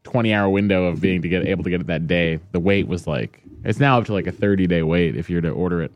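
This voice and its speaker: Casting: man, low voice, lively anecdotes, casual swearing, dry close mic. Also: fast talker, no echo, dull audio. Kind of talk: casual chat.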